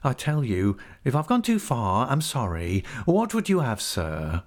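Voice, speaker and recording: A man's voice: mid-range voice, wildly swooping pitch, measured pace, pitched above the performer's own voice. A man voicing a sulky, falsely humble schemer in a story, whining and insinuating with veiled threat. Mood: warning, insinuating.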